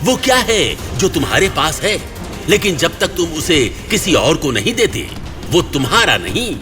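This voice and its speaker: Ominous riddle-giving guide, swooping pitch. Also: male voice, very animated, over music. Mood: solemn testing. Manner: ominous, theatrical, deliberate. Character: mysterious stern male guide who tests heroes with riddles. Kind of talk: audio drama acting.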